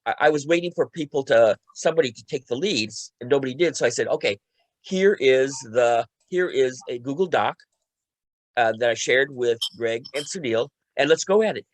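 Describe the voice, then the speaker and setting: Halting man, close dry sound. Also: mid-range voice, animated, no echo. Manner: halting, repeats and restarts phrases often. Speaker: radio play co-writer and panelist. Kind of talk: casual panel talk recounting a creative process.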